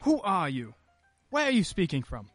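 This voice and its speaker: An actor playing a troubled, irritable well-to-do husband in a radio play, clipped and indignant. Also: male voice, high-pitched, huge pitch swings, normal speed, a bit bright, no room sound, faint music behind, heavily acted. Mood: irritated.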